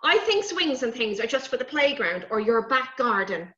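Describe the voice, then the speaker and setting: Woman voicing a high, kindly little hedge sprite. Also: sing-song, normal speed, pushed and bright, reverberant, dull audio. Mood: gentle advice. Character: small kindly magical hedge sprite with huge ears, male.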